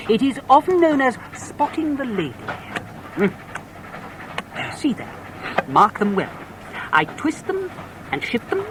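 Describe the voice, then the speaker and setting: An oily, effusive sham curate in an audio drama, pompous and full of chuckles. His pitch swoops widely as he feigns joviality, with clear noise behind him. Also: heavily acted. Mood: smooth, ingratiating. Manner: pompous, chuckling, ending remarks with "what?".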